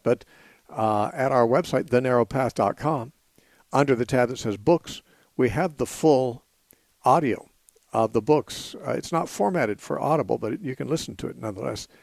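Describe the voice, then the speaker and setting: An earnest man teaches scripture on a call-in radio show in a mid-pitched voice. He talks steadily, stopping often to restart his sentences. The sound is dry and close, with no room around it. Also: creaky, a little mellow, no room sound.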